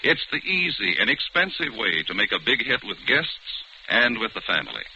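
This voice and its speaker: Bright, emphatic male radio announcer. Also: on the low side, very animated, normal speed, breathy edge. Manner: polished, emphatic salesmanship. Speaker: commercial announcer. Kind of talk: 1940s radio advertising read. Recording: dull vintage radio, faint noise.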